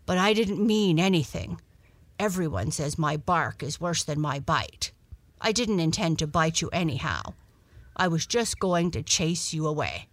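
A woman voicing a gruff but kindly male dog in a children's storybook, blunt, hearty and folksy. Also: low-pitched, lively intonation, measured pace, dry recording. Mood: grudging apology.

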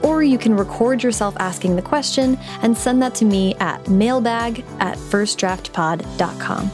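A woman hosting a podcast, reading her intro and then chatting with a guest in an upbeat, quick way. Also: a little high, music in the background. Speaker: podcast host. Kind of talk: scripted intro then casual interview chat.

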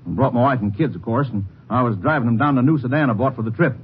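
A man voices an indignant, folksy businessman in a 1950s radio drama, talking quickly and plainly burned up. The sound is muffled and lo-fi, like an old broadcast with a faint hiss underneath. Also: mid-range voice, close to an everyday voice. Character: irate traveling businessman, middle-aged.

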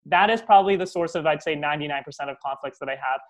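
A man's voice: a little high, racing, a bit bright. A man speaking as an interview guest, explaining ideas in a coaching tone with frequent restarts and tag questions. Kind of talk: casual interview conversation.

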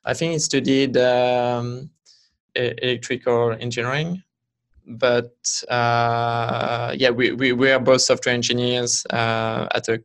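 French-accented man, flat and hesitant. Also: mid-range voice, vocal fry. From France. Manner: hesitant, many restarts and fillers. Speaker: startup founder guest. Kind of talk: casual interview answers.

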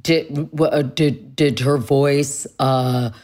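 A woman interviewer with a low voice, asking questions in a conversational way. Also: steady tone, slow pace, darkish tone. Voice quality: low, deep female voice.

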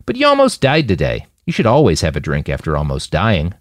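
A man's voice: low voice, huge pitch swings, fairly quick, no echo, studio quality, full character voice. A man voicing a laid-back, blunt post-human woman in an audiobook, talking casually and swearing freely. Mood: sleepy, teasing.

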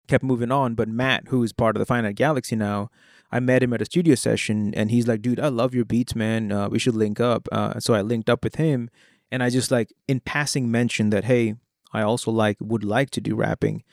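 A young Indian man, a rapper and producer who grew up speaking Hindi, answers questions in an easygoing way, filling his sentences with "you know" and "like." His accent blends Indian, British and American English, and his voice has a light gravelly edge. The recording is dry, with no room echo. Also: mid-range voice, fairly quick. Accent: Indian English with British and American traces. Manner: relaxed and conversational, fillers like "you know" and "like". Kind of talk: casual interview answers. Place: Oklahoma.

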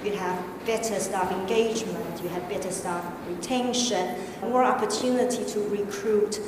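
A woman with a non-native English accent talks slowly and quietly in a measured, analytical way on a discussion panel. She is heard from a distance in a large, very echoey hall with a long reverb. Steady background noise sits under her voice. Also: medium pitch. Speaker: panel speaker.

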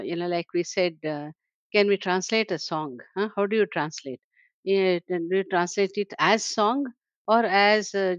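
An older woman with an Indian English accent, talking reflectively as a guest in an interview, with many "you know"s and soft laughs. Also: mid-range voice, clear, resonant tone, no room sound, lo-fi sound. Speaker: translator and scholar guest.

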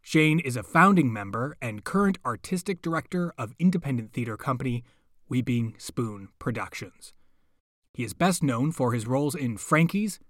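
A man's voice: mid-range voice, fairly bright tone, no echo, studio quality. A man hosting a podcast, reading a scripted introduction. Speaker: podcast host.

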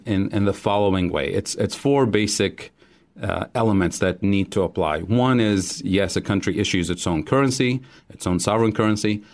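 A man in his forties from Tunisia, a guest economist, giving a measured explanation in an interview. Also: fairly low pitch, darkish tone. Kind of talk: interview answer.

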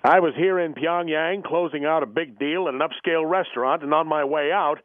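A man playing an American salesman who claims to be a dictator's barber, talking in a deadpan, folksy way. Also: on the high side, fairly quick, dry recording, lightly acted. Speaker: comedy bit character. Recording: dry tinny phone line.

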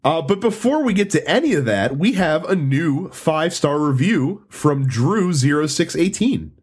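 A man's voice: on the high side, very animated, moderate pace, darkish tone, husky. A man hosting a casual podcast, congested and nasal from a cold, chatty and laughing often. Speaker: podcast host.

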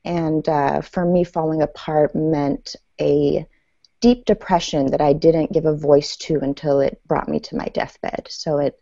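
Female author guest, thirties, native Farsi, very low mellow voice, recounting her life story. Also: little pitch movement, a little slow, vocal fry, no room sound. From Iran, then the United States. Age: 30s. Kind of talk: personal storytelling in an interview. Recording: dull lo-fi audio.

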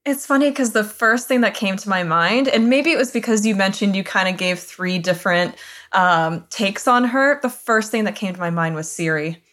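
A woman co-hosting a podcast, telling a story in an animated, casual way. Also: medium pitch, normal speed. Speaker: podcast co-host. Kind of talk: casual interview chat.

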